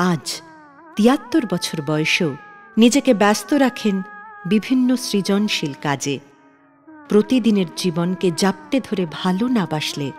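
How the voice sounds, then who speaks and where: A woman presenter giving a scripted, dramatic storytelling narration on a radio show, her phrases sinking soft at the ends. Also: mid-range voice, in a large hall.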